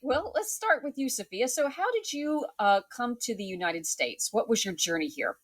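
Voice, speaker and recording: A woman's voice: on the high side, expressive, normal speed, no room sound, studio quality. A woman hosting a casual interview, chatting and asking her guest questions. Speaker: host.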